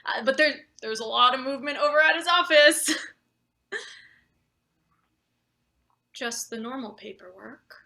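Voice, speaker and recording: A woman playing a flustered, deferential new boss in a live play reading, halting and anxious as they fawn over a superior. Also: high voice, sharp tone, exaggerated voice. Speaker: actor. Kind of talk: live table read of satirical play.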